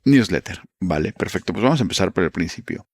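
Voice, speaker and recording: Low-voiced man hosting a podcast. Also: animated, a little mellow, husky, no room sound, clean studio sound. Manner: friendly, playful asides and quick acknowledgements. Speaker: podcast host. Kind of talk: casual interview questions.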